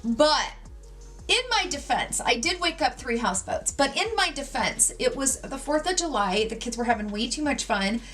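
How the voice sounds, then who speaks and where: A woman in her forties chatting while she does her makeup at home, her voice pitched a touch high and swinging up and down a lot. She tells gossipy stories in a casual, animated way, sprinkling in plenty of "like"s. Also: moderate pace, soft background music. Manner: gossipy, animated storytelling with many "like"s. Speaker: beauty vlogger. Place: home, sitting at a makeup table.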